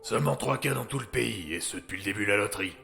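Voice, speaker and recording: A man plays a jaded male healer in an audio drama, with a gravelly, lowish voice. He is distrustful, laconic and sardonic, with soft music behind him. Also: animated, fast, heavily acted. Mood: dry, blunt.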